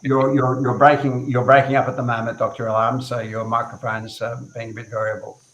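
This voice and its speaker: A man, a hair restoration surgeon, moderating a live panel discussion over a video call, speaking in a measured way with fillers and repeated words. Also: mid-range voice, flat delivery, fairly bright tone, highs cut off. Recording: roomy echo on a video call.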